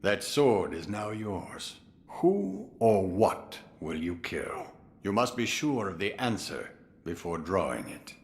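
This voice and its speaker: A solemn, contemplative swordsman in a video game. His low voice moves widely in pitch as he speaks in measured, portentous lines. A long reverb trails around every phrase. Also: big pitch swings, slight background noise, full character voice. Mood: solemn, probing. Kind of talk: English game voice acting.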